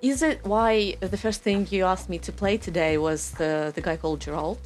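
A woman's voice: on the low side, moderate pace, a little mellow, soft background music. Hesitant woman interviewer asking questions. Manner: probing, hesitant phrasing. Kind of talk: casual interview.

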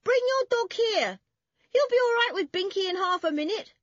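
A woman voicing an eager, bossy, hearty farm boy in a children's storybook, in a breezy, shouting manner. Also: high-pitched, huge pitch swings, a little slow, no echo, muffled recording, voice pushed up, exaggerated voice.

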